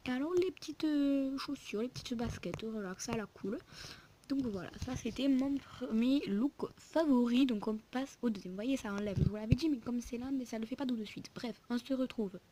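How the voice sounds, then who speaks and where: A woman's voice: a little high, moderate pace, dark tone. A young woman casually commentating a game lookbook as host, rambling and interrupting herself, on a poor recording with noise and clicks. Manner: rambling, informal, self-interrupting. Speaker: video host.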